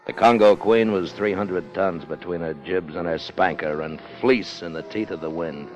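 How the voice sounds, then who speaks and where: An actor playing a ruthless, brazen sailor in a 1950s radio drama, with a cool, insolent, clipped manner. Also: male voice, low voice, normal speed, natural voice. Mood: grim relish. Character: ruthless, brazen murderous sailor turned first mate. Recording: muffled 1950 radio broadcast with music.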